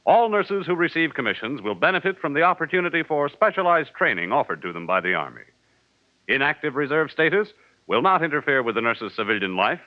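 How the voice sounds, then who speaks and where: A man's voice: mid-range voice, dry recording. A man announcing for 1940s network radio in a formal, polished scripted style. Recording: muffled 1940s radio broadcast recording. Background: music.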